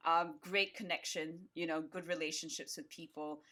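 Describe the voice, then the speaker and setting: A woman's voice: low-pitched, fairly even intonation, normal speed, pushed and bright. Reflective woman hosting an interview. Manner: earnest, reflective, trails off into fillers. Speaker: podcast host. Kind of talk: casual interview chat.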